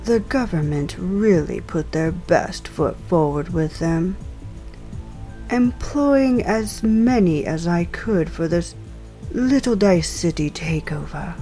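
A woman playing a coolly polite, menacing crime boss in an audio drama, speaking in a deliberate, mockingly genteel way. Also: fairly low pitch, animated, dark tone, low-quality recording. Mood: smug. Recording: music and rain under the voice.

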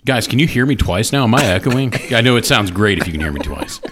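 A man's voice: mid-range voice, sing-song, quick pace, noisy background. A middle-aged man co-hosting a podcast in casual, joking banter, laughing often and swearing. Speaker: podcast co-host.

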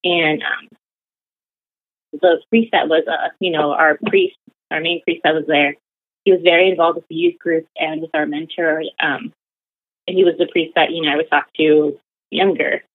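A young woman with a deep, close, dry voice tells her story in a loose, chatty way. Her talk is full of fillers. The sound is narrow and tinny, like a phone line. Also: fairly even intonation, moderate pace, no room sound. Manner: chatty, many fillers like "like" and "you know". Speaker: interviewee. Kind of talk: casual conversational storytelling.

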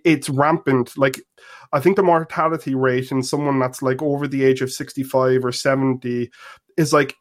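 A man with an Irish accent calling in to a talk radio show, explaining his point earnestly and step by step. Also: mid-range voice. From Ireland. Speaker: caller. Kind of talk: call-in radio discussion. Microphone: phone or online call.

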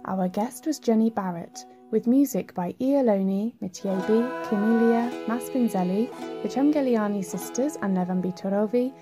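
A woman's voice: mid-range voice, moderate pace. A woman hosting a podcast, speaking over music. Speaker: podcast host. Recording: music behind the voice.

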